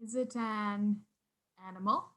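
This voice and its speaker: An actress playing a playful, teasing wife with little patience in a radio play. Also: female voice, mid-range voice, fairly even intonation, a little slow, sharp tone.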